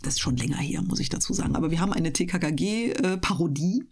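A woman's voice: on the low side, expressive, fast talker, a little mellow, slight background noise. A woman chatting casually and explaining things as a guest in a radio interview.

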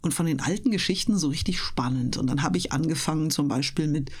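A woman's voice: low voice, quick pace, a little mellow, husky. A woman chatting casually as an interview guest, explaining things at length in a talkative, storytelling way. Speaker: interview guest, voice performer and live reader.

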